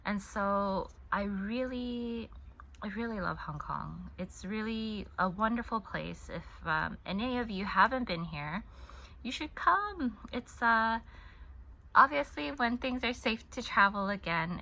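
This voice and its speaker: A woman's voice: mid-range voice, animated, measured pace, creaky, sharp tone. A woman in her thirties who grew up in the United States and spoke Korean first, chatting casually at home while she crochets, rambling and often restarting her sentences. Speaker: crochet channel host. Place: home in Hong Kong.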